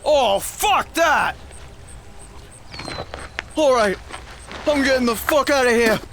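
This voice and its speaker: A man playing an obnoxious, hard-drinking, foul-mouthed fighter in an audio drama, slangy and dazed, with drawn-out exclamations. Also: very high voice, animated, vocal fry, a bit bright, exaggerated voice. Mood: rattled, indignant. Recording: faint background noise.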